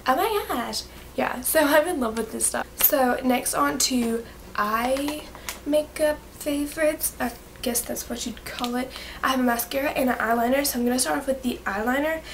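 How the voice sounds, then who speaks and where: A young woman chats brightly to a close camera in her bedroom, her nose stuffy from a coming cold. Her voice sits a little high, and she gushes with quick, excited asides. A faint hiss runs under the recording. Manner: bubbly, gushing, quick asides. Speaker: beauty vlogger. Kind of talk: casual monthly favorites vlog. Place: bedroom, indoors.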